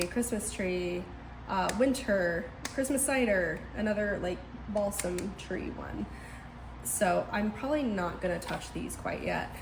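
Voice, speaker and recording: A woman's voice: mid-range voice, background noise. A young woman with a congested, stuffed-up voice, chatting casually and thinking aloud at home as she tries out household scents. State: congested from a cold. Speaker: lifestyle vlogger. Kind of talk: casual chatty vlog narration. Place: indoors at home.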